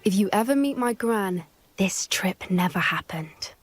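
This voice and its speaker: An actress playing an earnest young witch student who cares for creatures and talks quickly and confidingly. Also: medium pitch, expressive, soft background music. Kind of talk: video game voice acting.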